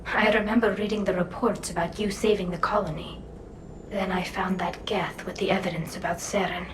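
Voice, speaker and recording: A woman voicing an earnest, gentle young alien engineer in a video game, through a helmet filter. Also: lowish voice, fairly even intonation, moderate pace. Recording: roomy echo, clear background noise.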